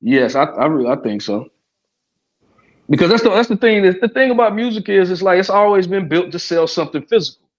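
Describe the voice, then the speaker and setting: A man's voice: high-pitched, expressive, brisk. A man on a podcast talking casually and loosely, swearing and dropping lots of "you know what I mean". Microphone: remote stream call. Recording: dull lo-fi sound.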